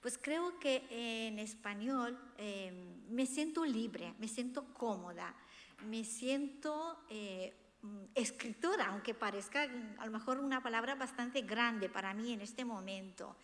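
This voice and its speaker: A woman whose native language is Romanian speaks in a fairly high voice, with long reverb from the hall around her. She is earnest and expressive, sharing a personal talk as an author addressing an audience. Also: a little slow. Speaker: author presenting her book. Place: hall of a cultural institution, before a seated audience.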